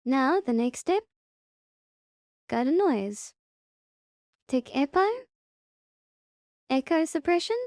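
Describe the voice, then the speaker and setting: A woman presenting a step-by-step software tutorial in short, simple instructional sentences. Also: sits high, lively intonation, slow pace, pauses often, dry recording, studio quality.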